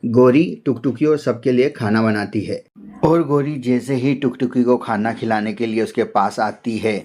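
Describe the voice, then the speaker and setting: A man giving scripted narration for an animated children's story. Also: mid-range voice, normal speed.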